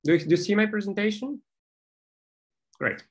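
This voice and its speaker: A man in his thirties with a Bulgarian accent, giving an informal, halting presentation over a video call. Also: sits high, expressive. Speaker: presenter, maker. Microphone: video call microphone.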